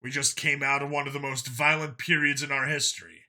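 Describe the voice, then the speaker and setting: Guy reading as a tired earnest cop man in a sci-fi audiobook, insistent, argues a lot, bright forceful voice. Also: mid-range voice, fairly even intonation, fairly quick. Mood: heated, bitter. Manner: long, insistent, reasoning speeches.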